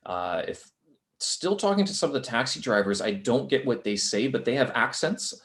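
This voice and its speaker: A man's voice: medium pitch, big pitch swings, moderate pace, a bit bright. A man hosting a podcast, chatting casually with a guest and adding joking asides. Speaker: podcast host. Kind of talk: casual interview chat.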